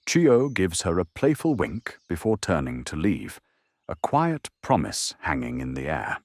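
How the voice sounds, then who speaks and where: A man's voice: sits low, very animated, a little slow, darkish tone, no echo, clean studio sound. A man narrating an audio drama in a dramatic, scripted storytelling style.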